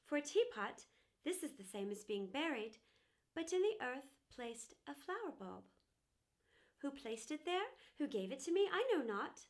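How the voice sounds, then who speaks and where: A high-pitched, self-important porcelain teapot with a wistful streak, voiced by a woman. It tells its life story in a grand, emphatic storybook manner meant for children. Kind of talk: children's storybook character narration.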